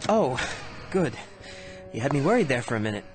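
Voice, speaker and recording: An actor playing a gentle, halting, concerned man helping a troubled stranger in a radio drama. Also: mid-range voice, sing-song, lightly acted. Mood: kindly, hesitant. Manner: halting, soft-hearted. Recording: prominent music under radio drama.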